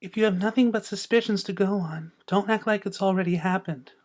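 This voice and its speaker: Man doing a hushed timid girl pony. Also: high-pitched, fairly quick, lightly acted. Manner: hushed, hesitant, whispery. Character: timid, gentle, soft-spoken young female pegasus.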